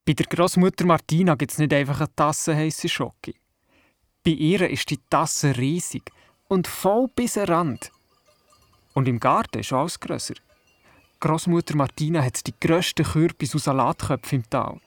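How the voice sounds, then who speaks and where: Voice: medium pitch, normal speed, dry recording, natural voice. An actor playing a curious, imaginative young boy with a Swiss German accent, telling his story in a children's radio play. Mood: earnest.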